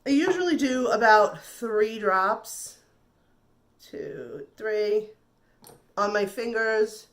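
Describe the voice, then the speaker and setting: A woman's voice: mid-range voice, expressive, drawn-out words, a bit bright. A woman with a slightly nasal voice, getting over the flu, chatting casually and reassuringly through a makeup tutorial. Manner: chatty and reassuring, repeats words. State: recovering from flu. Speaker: beauty vlogger.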